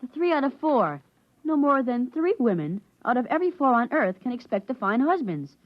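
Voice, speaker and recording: Cheeky young teen boy, lively and eager, raised pitch, dull vintage radio sound. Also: normal speed. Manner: boyish, eager and wide-eyed. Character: eager cheeky 13-year-old boy, curious and stubborn. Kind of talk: 1950s radio drama acting. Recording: muffled 1950s radio broadcast.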